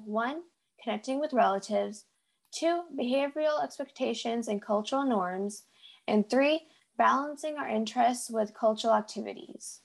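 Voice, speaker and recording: A woman's voice: a little high, gravelly. A teenage young woman with an American accent, co-hosting a youth podcast and reading from a prepared script. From United States.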